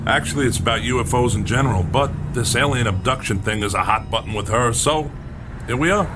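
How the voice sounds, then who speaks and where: A man's voice: mid-range voice, brisk, very noisy, natural voice. An actor playing an earnest, impatient male writer in a radio drama, with an insistent, matter-of-fact, clipped delivery. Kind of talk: radio drama acting, conversational.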